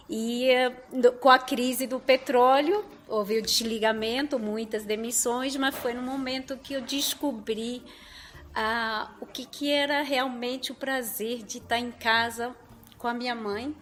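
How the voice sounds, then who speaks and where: A woman's voice: on the high side, a little hiss or hum. A woman from Colombia with a Colombian Spanish accent, telling her personal story to a group at a meeting, earnest and hesitant. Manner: earnest, with many hesitant fillers. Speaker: event participant.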